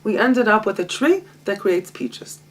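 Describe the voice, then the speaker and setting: A woman, a Hebrew speaker, leads a Torah study session. Her voice sits at a middle pitch, and her delivery is lively and self-mocking, with frequent laughter. The mic is set some distance away in a home library. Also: moderate pace. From Israel. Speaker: guest teacher.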